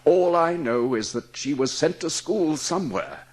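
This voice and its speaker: Casting: male actor as ailing wealthy gentleman, middle-aged, racked by guilt, husky and highly expressive, audiobook drama. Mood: troubled, unsure. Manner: anguished, halting.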